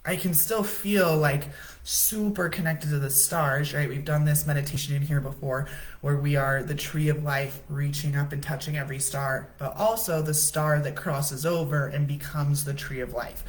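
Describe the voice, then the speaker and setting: Woman, low deep voice, casual chatty spiritual coach hosting a live talk. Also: fairly even intonation, moderate pace. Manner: casual, chatty, says "right?" often. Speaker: livestream host.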